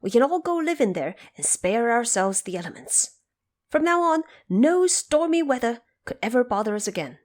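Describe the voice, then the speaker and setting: A woman's voice: on the high side, very animated, moderate pace, voice pushed up. A woman voicing a bold, cheerful male monkey leader in an audio drama storybook, exclaiming eagerly and repeating phrases. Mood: delighted.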